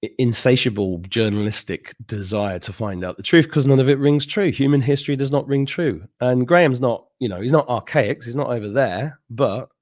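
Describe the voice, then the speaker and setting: A man's voice: medium pitch, moderate pace, few pauses, darkish tone. A middle-aged man hosting a live stream, chatting casually with viewers, joking, laughing often and drifting into rambling asides. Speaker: host.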